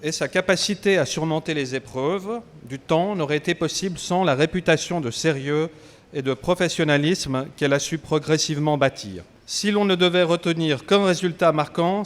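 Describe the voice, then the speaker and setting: A man speaks as an official giving a formal address. His voice is somewhat high for a man, and the wording is prepared and ceremonial.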